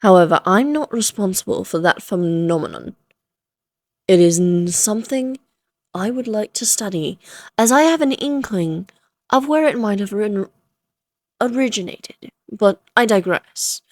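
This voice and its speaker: A woman's voice: mid-range voice, lively intonation, unhurried, a little mellow, dry recording. A woman voicing an ancient, amused and self-assured female vampire who taunts the listener in formal, theatrical phrases, in a Victorian fantasy audio roleplay. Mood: amused, musing.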